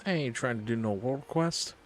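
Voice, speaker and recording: A man's voice: medium pitch, moderate pace, a little hiss or hum. A man giving casual gameplay commentary as a streamer. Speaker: game streamer.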